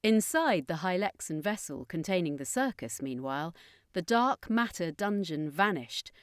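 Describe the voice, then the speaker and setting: A woman with a fairly low voice tells a fantasy story as scripted narration. The sound is dry, with very little room around it. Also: lively intonation, moderate pace, no room sound.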